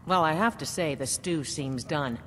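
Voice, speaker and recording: An eager castle chef, reverberant hall. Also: female voice, deep voice, moderate pace, a bit bright, slight background noise. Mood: deferential, unsure. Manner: hesitant, gushing, formal. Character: eager, fawning female castle chef, nervous and deferential. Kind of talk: fantasy video game dialogue. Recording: hall reverb under game music.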